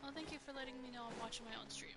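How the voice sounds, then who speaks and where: A young woman with a soft voice, chatting casually and laughing as she streams a video game. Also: sits high, racing. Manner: rambling, chatty, laughs often. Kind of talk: casual gameplay commentary. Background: game music.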